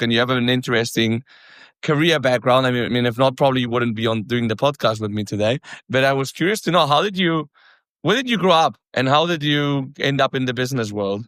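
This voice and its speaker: A man's voice: medium pitch, fairly bright tone, clean tone, no echo. Catalan man hosting a podcast. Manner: friendly, chatty, asks open questions. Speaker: podcast host. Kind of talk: casual interview chat.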